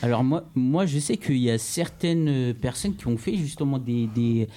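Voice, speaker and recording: Mellow-voiced male radio host. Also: medium pitch, normal speed. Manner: hesitant, many fillers and restarts. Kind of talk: casual radio chat.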